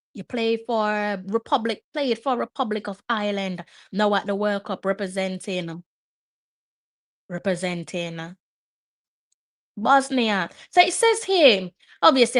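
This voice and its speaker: A woman's voice: medium pitch, no room sound, studio quality. A woman hosting a live match watch-along, chatting and musing aloud as she reads up on players. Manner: chatty, muses aloud while reading up on players. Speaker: host.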